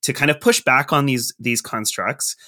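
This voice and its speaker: A man's voice: medium pitch, normal speed, bright, forceful tone. A man hosting a podcast, asking long, framed questions in a conversational way. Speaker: podcast host. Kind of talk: interview questions.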